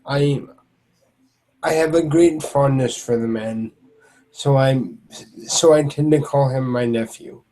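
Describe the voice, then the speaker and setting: A man voicing a huge, gentle male troll knight who speaks with formal, soft-spoken courtesy. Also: mid-range voice, slow pace, natural voice, low-quality recording. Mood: courtly.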